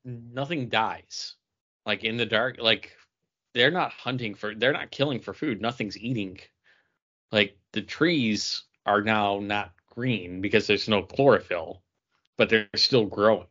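A man with a fairly low voice talks comics in a casual chat. He is emphatic and slangy, circling back over his points. The recording is a bit dull and lo-fi. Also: a little slow, bright, forceful tone. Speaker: co-host of a comics review show. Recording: dull lo-fi audio.

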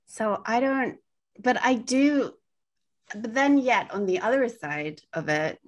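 A woman with a soothing voice that sits a little low in pitch. She chats casually as a podcast co-host. She wanders through her thoughts with fillers and restarts. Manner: meandering, self-correcting sentences with many fillers. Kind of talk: casual conversation.

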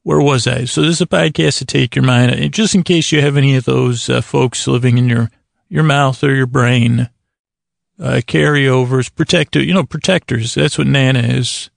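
An American man with a creaky voice hosting a podcast, delivering a meandering, halting comedic bedtime monologue that trails off mid-thought. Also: mid-range voice, fairly even intonation, no room sound, clean studio sound. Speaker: podcast host.

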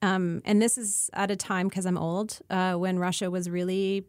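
A woman's voice: lowish voice, little pitch movement, moderate pace, darkish tone, no room sound, studio quality. A middle-aged woman with a Canadian accent, explaining things as an interview guest in a thoughtful, informal and self-deprecating way. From Ontario, Canada.

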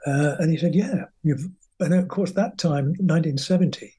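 Older English man, guest storyteller, rambling and chatty, mellow husky tone, slightly high voice. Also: normal speed. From England. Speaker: guest musician. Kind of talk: anecdotal interview storytelling.

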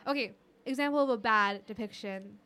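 A woman's voice: on the high side, fairly quick, sharp tone, clear, resonant tone. A woman with an American accent hosting a casual, opinionated podcast chat, speaking bluntly and swearing freely. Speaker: podcast host.

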